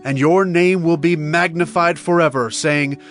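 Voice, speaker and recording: A man's voice: on the high side, fairly even intonation, measured pace. A man playing a humble, devout king in a dramatized audio Bible, speaking in an earnest, reverent declamation. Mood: reverent, grateful. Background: soft plucked guitar music.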